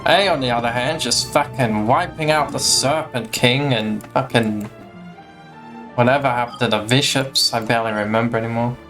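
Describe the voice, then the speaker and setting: A man's voice: mid-range voice, moderate pace, fairly bright tone. A man giving casual, musing commentary as he plays a video game. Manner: offhand, musing reactions to the game. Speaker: gaming streamer. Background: game music.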